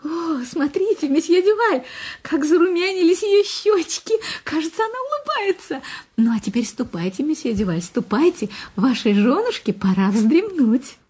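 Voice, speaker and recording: A woman's voice: high voice, big pitch swings, moderate pace, a little mellow. A woman playing a chirpy, doting hospital nurse in a radio play, cooing in a singsong, babying way. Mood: doting, cheerful. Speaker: actress in a radio drama.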